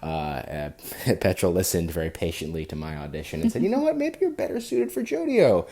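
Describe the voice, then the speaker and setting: A man chatting casually on a podcast, halting and self-deprecating as he talks. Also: low-pitched, big pitch swings, gravelly, dark tone. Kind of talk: casual chat.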